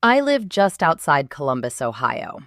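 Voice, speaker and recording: A woman in her forties with a fairly low, animated voice tells a personal story. She speaks candidly and conversationally, leaning on short emphatic phrases. The recording is close and dry. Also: normal speed, no echo. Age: 40s. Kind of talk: confessional dramatic monologue, conversational narration.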